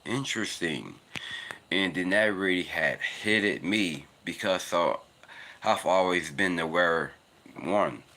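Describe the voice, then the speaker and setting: A man's voice: takes it slowly, bright, forceful tone. A man in his twenties talking casually and earnestly about faith, rambling and repeating himself while out on a walk. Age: 20s. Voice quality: naturally deep voice. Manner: rambling, earnest, repetitive "it's because". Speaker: vlogger. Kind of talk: casual spiritual monologue. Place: outdoors, walking in cold weather.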